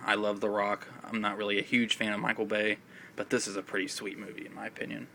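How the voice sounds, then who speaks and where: A man talks casually about films with a raspy voice and an occasional cough, rambling with many fillers. His voice sits low and fairly flat in pitch, with a bright, forward edge. A faint noise runs behind him on a phone recording. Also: quick pace, low-quality recording. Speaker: film vlogger. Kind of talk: casual video chat.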